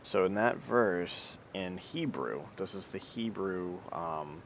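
A man's voice: animated, takes it slowly. A man giving an informal Bible teaching talk, hesitant and apologetic, with many ums. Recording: dull lo-fi recording with low rumble.

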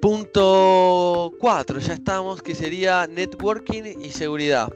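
A man co-hosting a casual tech talk, relaxed, with many fillers and restarts. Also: high voice, a little slow, lo-fi sound, faint music behind. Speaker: co-host.

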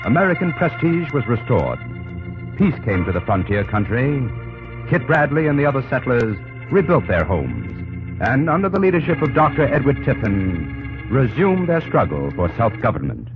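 A man's voice: medium pitch, big pitch swings, moderate pace. Grand old-time radio narrator man, sweeping delivery. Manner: grand, declamatory, dramatic. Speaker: narrator of a historical radio drama. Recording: muffled old radio broadcast over loud music. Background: loud dramatic music score.

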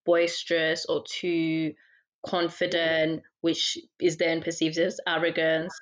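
A woman hosts the interview, asking questions in a measured, reflective way. Her voice is fairly low and stays flat with little rise and fall.

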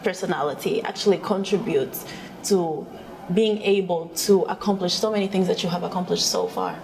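A woman's voice: lowish voice, expressive, moderate pace, darkish tone, slight background noise, poor audio. A woman hosting an interview, asking questions and encouraging her guest with short affirmations. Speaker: interview host. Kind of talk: interview with brief backchannel.

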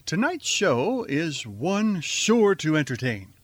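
Slow, theatrical man playing a bumbling radio host. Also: a little high, big pitch swings, no echo, exaggerated voice. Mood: theatrical welcome. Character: bumbling, zombie-obsessed male radio show host. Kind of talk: theatrical radio show introduction.

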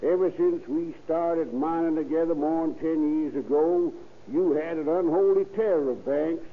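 A folksy, easygoing American miner, played by a man, in a somewhat high voice. He talks plainly and rustically in vintage radio style, heard through a thin old broadcast recording. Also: normal speed, narrow, tinny audio, lightly acted. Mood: mildly exasperated. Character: easygoing, folksy older miner, a loyal partner. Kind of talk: 1940s radio acting.

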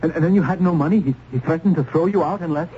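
A man's voice: a little high, fast, lightly acted. An actor playing a compassionate, tormented young man in an old-time radio drama, hesitant and intense. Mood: probing, troubled. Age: young adult. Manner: hesitant, intense, breaks off and repeats. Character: tortured, compassionate young man, earnest would-be rescuer. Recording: muffled, faintly noisy old radio recording.

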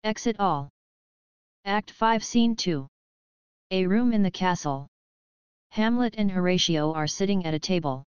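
A woman's voice: fairly low pitch, animated, a little slow, long pauses, clear, resonant tone, no room sound. A woman narrating stage directions from a script for an audio play. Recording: slightly dull, dry recording.

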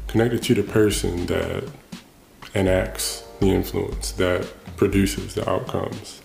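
A man's voice: lowish voice, gravelly, a little mellow, echoey room. A man hosting a solo podcast, musing casually and restarting his thoughts as he goes. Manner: casual, thinking aloud with frequent restarts. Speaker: podcast host. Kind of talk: reflective solo monologue.

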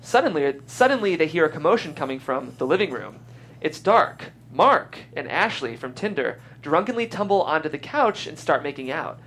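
A man's voice: fairly high pitch, very animated, normal speed, a bit bright, slightly rough. A man reading screenplay stage directions aloud as the narrator of a live table read. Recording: faint background noise.

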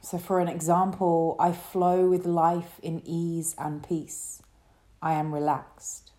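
A woman leading a guided relaxation meditation as a yoga teacher, speaking in a soothing, gentle way. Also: fairly low pitch, flat delivery. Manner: soothing, gentle, unhurried instruction.

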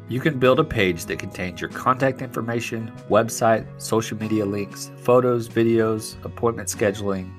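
A man reading a scripted sponsor ad with smooth promotional delivery. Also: on the low side. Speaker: ad announcer. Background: faint guitar music.

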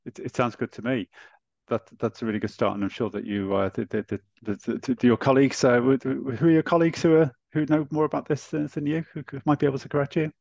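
A man's voice: medium pitch, steady tone, fast talker, vocal fry, darkish tone, dry recording. A male podcast host who asks friendly questions and stammers over his words. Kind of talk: casual interview. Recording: dull, dry lo-fi sound.

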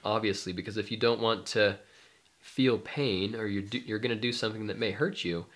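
A man's voice: lowish voice, a little fast, a bit bright. Stuffy-nosed young man hosting a podcast. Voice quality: nasal, stuffy. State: congested. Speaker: podcast co-host, mindfulness life coach. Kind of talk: casual chat.

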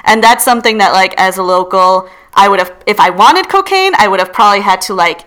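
Woman co-host from Peru, smooth, bright, animated. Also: medium pitch, fairly quick. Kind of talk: casual chat.

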